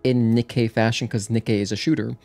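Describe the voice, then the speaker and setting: A man's voice: medium pitch, steady tone, normal speed, vocal fry, darkish tone. A man giving casual livestream game commentary, chatting with viewers in a rambling way that breaks off to react and answer them. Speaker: livestreamer playing video games. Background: video game music.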